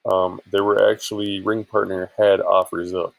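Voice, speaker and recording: A man's voice: low voice, little pitch movement, moderate pace, vocal fry, rough recording. A man chatting casually in an informal, rambling and hesitant way for a screen-share vlog, sounding a bit tired. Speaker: vlogger and affiliate marketer.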